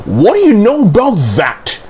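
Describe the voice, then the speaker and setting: A man voicing a cheeky, excitable young steam engine in a children's story, with shrieks and drawn-out spooky wails. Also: very high voice, crowd noise, pitched above the performer's own voice, heavily acted. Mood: gleeful.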